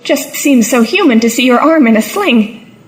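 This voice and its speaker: A woman's voice: high-pitched, lively intonation, normal speed. A woman playing a plucky, dryly sarcastic travelling companion in an audio drama, with a quipping manner. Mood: puzzled, wry.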